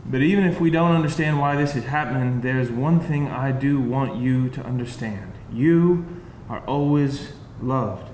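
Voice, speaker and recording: A man playing a gentle, protective grandfather, tender and reassuring, in a live screenplay reading. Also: medium pitch, unhurried, few pauses, lightly acted. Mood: tender, loving. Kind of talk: live screenplay table read. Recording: roomy live hall, faint noise.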